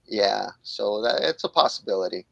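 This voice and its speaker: A man's voice: lowish voice, animated, moderate pace, vocal fry, a bit bright, dry recording, dull audio. A man in his late forties, a jiu-jitsu instructor and author from New York, speaking candidly and reflectively as a podcast guest, with frequent fillers. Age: 40s. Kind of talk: podcast interview.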